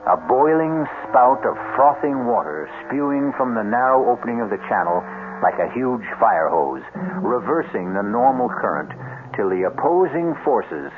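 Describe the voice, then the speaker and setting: An actor playing a grave, theatrical host who narrates a radio mystery in 1970s style. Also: male voice, medium pitch, noisy background. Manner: theatrical, ornate. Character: grave, ominous storyteller of a mystery tale. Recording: phone-like old radio broadcast. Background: music.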